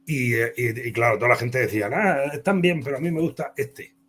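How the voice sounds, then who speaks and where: A man's voice: medium pitch, brisk, fairly bright tone. Spanish man chatting over noticeable hum. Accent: Spanish (Spain). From Spain. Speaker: comic book author and interview guest. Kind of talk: conversational interview, telling career anecdotes.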